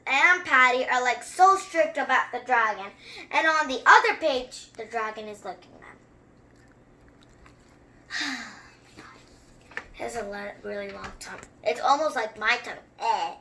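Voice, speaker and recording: A young-sounding story reader who reads a picture book aloud and chats through it with expressive, wandering asides. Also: mid-range voice, a little slow. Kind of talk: children's story time read-aloud with excited running commentary.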